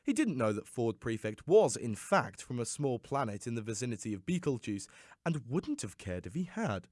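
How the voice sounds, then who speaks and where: A man giving a theatrical, expressive scripted reading of a story as an audiobook narrator. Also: medium pitch, moderate pace, dry recording.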